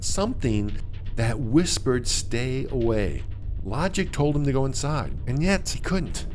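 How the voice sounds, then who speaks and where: A man narrating a scripted mystery story in a dramatic, suspenseful way with deliberate phrasing. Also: mid-range voice, a little hiss or hum.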